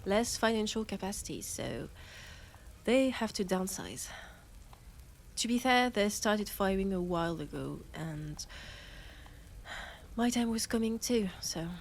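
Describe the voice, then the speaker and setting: A woman voicing a young space miner with a French accent in a sci-fi audio roleplay, talking with her best friend. She speaks slowly and hesitantly, often trailing off into pauses. Her pitch sits in an ordinary middle range, and a faint ambient hum runs underneath. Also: animated, creaky. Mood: rueful.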